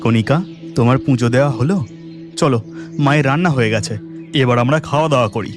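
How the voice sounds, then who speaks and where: A man's voice: medium pitch, brisk, over music, natural voice. A man playing a puzzled young husband in a cartoon, questioning and surprised in manner. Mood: calm. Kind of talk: cartoon voice acting.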